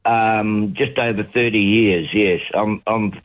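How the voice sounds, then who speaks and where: A middle-aged or older man with a New Zealand accent, chatting haltingly as a radio phone-in caller. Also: lowish voice, fairly even intonation, low-quality recording. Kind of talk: casual chat. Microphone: phone call.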